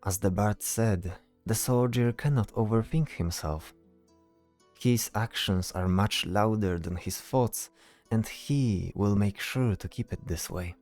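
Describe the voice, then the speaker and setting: A man's voice: fairly low pitch, warm tone. A man reading scripted third-person narration for a fantasy story.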